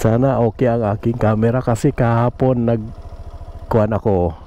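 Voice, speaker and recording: A man giving chatty, unhurried commentary while riding a scooter outdoors on a road. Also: medium pitch, fairly even intonation, mellow, highs cut off. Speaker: motovlogger. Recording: wind and traffic noise.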